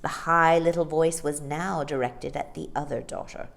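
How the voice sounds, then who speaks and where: A woman reading a storybook aloud in a theatrical, deliberate, expressive narrating style. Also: low voice, gravelly. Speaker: storytime reader.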